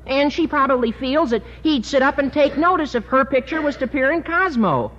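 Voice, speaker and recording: An actor playing an eager, gossipy teenage schoolboy in a 1950s radio sitcom, chatty and hesitant. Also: male voice, very high voice, expressive, brisk, fairly bright tone, a little hiss or hum. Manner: chatty, hesitant, says uh a lot. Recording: muffled old radio broadcast.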